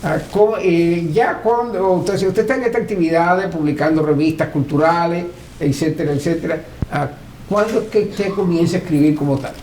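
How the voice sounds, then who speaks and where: A man's voice: a little high, brisk, darkish tone. A man interviewing respectfully, using formal address and small affirming murmurs. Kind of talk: oral history interview, respectful questioning. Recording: roomy echo, faint background noise.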